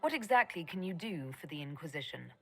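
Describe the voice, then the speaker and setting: An actress playing a sharp elf mage who leads an army in a video game, questioning others in a direct, dry way over music. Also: female voice, low-pitched, very animated, fairly quick, breathy edge, heavily acted. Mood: dry, skeptical. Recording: music under the dialogue.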